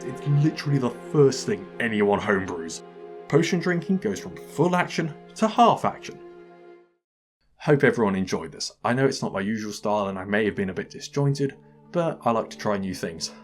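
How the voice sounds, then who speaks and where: A man's voice: fairly low pitch, big pitch swings, normal speed, soft background music. A man hosting a scripted comedic video game review, narrating in a dry, wry manner.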